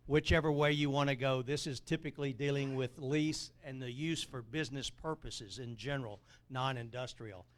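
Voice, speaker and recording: A man's voice: mid-range voice, fairly bright tone, smooth. A man presenting to a meeting, methodically listing commercial property categories. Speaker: commercial real estate agent. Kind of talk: explanatory presentation.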